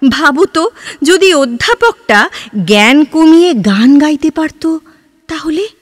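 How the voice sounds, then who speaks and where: Woman performing free-spirited young heroine, very animated intonation, probing, romantic, audio drama, roomy echoing sound. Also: high voice, slow, clean studio sound. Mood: dreamy, musing. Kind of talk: Bengali audio drama dialogue.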